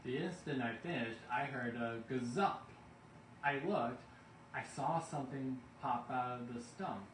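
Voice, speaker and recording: Male storybook narrator: slow, deliberate, expressive verse reading, distant mic with faint noise. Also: mid-range voice, roomy sound. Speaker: bedtime story reader.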